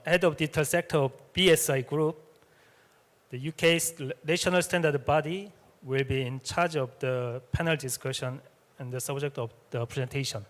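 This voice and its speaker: A man moderating a symposium session, speaking English as a with many hesitations and fillers. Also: fairly high pitch. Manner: hesitant, frequent "uh" fillers and restarts. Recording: reverberant hall sound.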